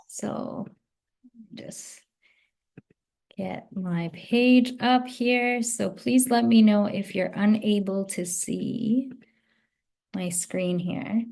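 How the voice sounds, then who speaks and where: Slow, thoughtful woman from Afghanistan. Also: medium pitch, steady tone, vocal fry, a little mellow. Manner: slow, thoughtful, many ums. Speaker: host and circle coordinator. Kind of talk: online meeting introduction. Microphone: video call.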